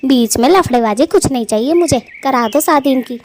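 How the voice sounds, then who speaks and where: A woman plays a chatty young female bird in a family cartoon. Her voice sits fairly high, and she speaks in an affectionate, teasing, effusive way. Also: expressive, normal speed, darkish tone. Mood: eager. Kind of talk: Hindi family cartoon story acting.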